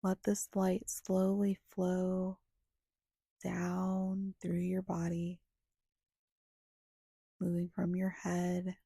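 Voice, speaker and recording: A woman leads a guided meditation in a soft, husky, breathy voice that often slips toward a whisper. She speaks very slowly and evenly, with long pauses between short, soothing phrases. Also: on the low side, no echo.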